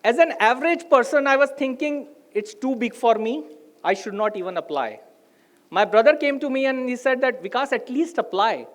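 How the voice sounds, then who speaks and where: A man's voice: very high-pitched, expressive, moderate pace. A middle-aged man from India, a native Hindi speaker with an Indian English accent, giving a live motivational talk and putting rhetorical questions to the audience.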